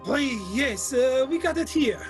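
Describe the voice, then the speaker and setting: A woman playing a commanding film director in an old-time radio drama. The voice is high and very slow, drawing out each instruction with theatrical weight. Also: expressive, darkish tone, faint music behind. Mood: businesslike. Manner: drawn-out, coaxing instructions. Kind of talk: 1940s radio drama acting.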